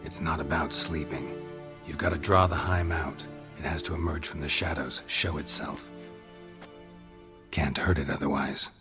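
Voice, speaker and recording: Grizzled monster hunter, extremely deep voice, terse, clipped, matter-of-fact, soft music behind. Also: male voice, steady tone, moderate pace. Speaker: game protagonist.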